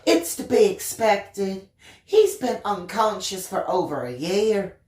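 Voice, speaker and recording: Woman playing a measured, slow-talking hospital nurse. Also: medium pitch, big pitch swings, darkish tone, echoey room. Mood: matter-of-fact. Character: calm, matter-of-fact hospital nurse, a woman. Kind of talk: dramatised audiobook reading.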